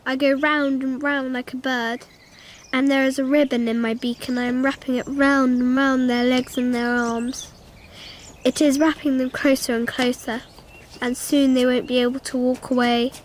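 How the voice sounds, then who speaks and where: A woman's voice: sits high, level tone, normal speed, slight background noise, lightly acted. An actor playing a dreamy, earnest little girl, narrating simply and innocently in a radio drama. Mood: dreamy, intent.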